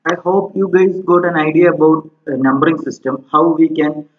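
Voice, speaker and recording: A man's voice: fairly high pitch, normal speed. A man giving an informal technical tutorial as an instructor, explaining step by step and often checking in with "okay". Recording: muffled, roomy, echoey home recording.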